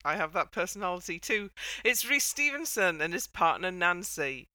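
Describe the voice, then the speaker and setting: A woman's voice: lowish voice, big pitch swings, moderate pace, pushed and bright, dry recording. A woman hosting a casual panel chat in a wry, playful way. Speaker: podcast host.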